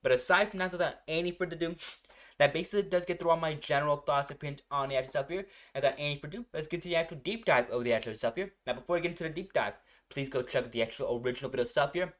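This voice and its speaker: A man's voice: on the high side, racing, fairly bright tone. A man giving casual, gushing reaction commentary as a video host, rambling and often repeating himself. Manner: gushing, repetitive, rambling enthusiasm.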